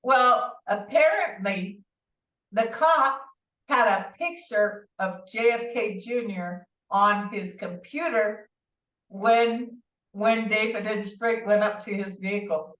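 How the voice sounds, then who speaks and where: A woman guest telling her story in a rambling, animated way, with many asides and restarts, heard through a remote call. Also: mid-range voice, slow pace. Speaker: interview guest. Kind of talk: casual conversational storytelling. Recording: distant, roomy, dull-sounding audio.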